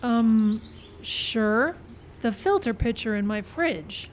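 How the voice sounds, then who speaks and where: A woman's voice: a little high, expressive, slow pace. A woman playing an exasperated, sceptical, no-nonsense film team member in a live radio-style comedy, with pointed, commanding delivery. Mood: dry. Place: outdoor park stage. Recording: outdoor live show with background noise. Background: birds and outdoor ambience.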